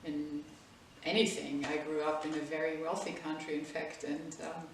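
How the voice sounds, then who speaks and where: German accent lady, 50s, lowish voice, kinda far off in an echoey room. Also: flat delivery, normal speed, background noise. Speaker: interviewee. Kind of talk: recalled personal history in an interview.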